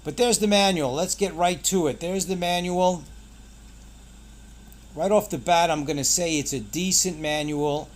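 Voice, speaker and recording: A man's voice: on the high side, a little slow. A man hosting a casual product unboxing, pointing things out in short, clipped phrases. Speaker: product reviewer and channel host.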